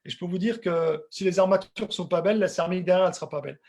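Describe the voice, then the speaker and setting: A middle-aged man with a husky voice giving an informal technical lecture, conversational and repeating words for emphasis. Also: high-pitched, rapid-fire, a little mellow. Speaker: dental technician giving a webinar.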